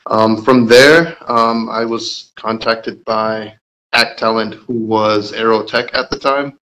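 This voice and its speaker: A young man from Georgia, a lab technologist answering interview questions calmly, pausing often with "um". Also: fairly low pitch, poor audio. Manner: unhurried, measured, with pauses and "um"s.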